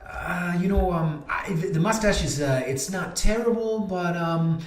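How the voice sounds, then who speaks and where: Brief: man playing a shy office worker with a Portuguese accent, higher voice, stammering and fumbling. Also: reverberant, distant mic. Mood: hesitant. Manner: halting, stammering, fumbling for words. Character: hesitant woman office worker, shy and awkward.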